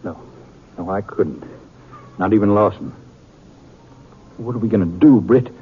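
A man's voice: on the low side, unhurried, natural voice. A man acting a proud, worried Old West farmer and father in a 1950s radio western, blunt and rustic with an American accent. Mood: agitated, grim. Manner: blunt, rustic, plain-spoken. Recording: muffled, noisy 1950s radio recording.

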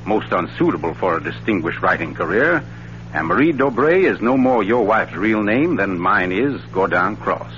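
Pompous, swooping radio gentleman sleuth. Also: fairly low pitch, animated, moderate pace. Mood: wry, explanatory. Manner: pompous, urbane, teasing, elaborately mannered. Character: suave, theatrical gentleman writer-sleuth, smugly unmasking a murderer. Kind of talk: 1940s radio mystery acting. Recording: scratchy, dull 1940s radio recording with hum.

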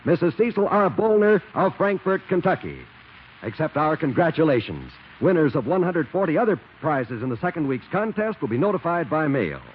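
A man's voice: on the high side, big pitch swings, brisk, slight background noise. An American man reading scripted announcements as a 1940s radio announcer. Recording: muffled 1940s radio recording.

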